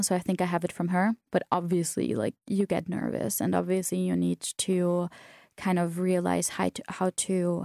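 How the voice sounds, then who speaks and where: A woman's voice: lowish voice, creaky, darkish tone, dry recording, studio quality. A young woman whose first language is German, telling stories casually in an interview, with lots of fillers and restarts. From South Tyrol, Italy. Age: young adult. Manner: chatty, many fillers and restarts. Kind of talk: casual conversational storytelling.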